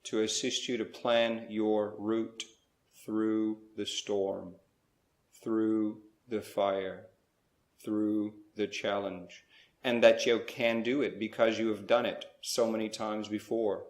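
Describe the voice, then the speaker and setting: A man leading a slow guided spiritual meditation, speaking in measured short phrases with pauses between them. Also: on the low side, level tone, echoey room.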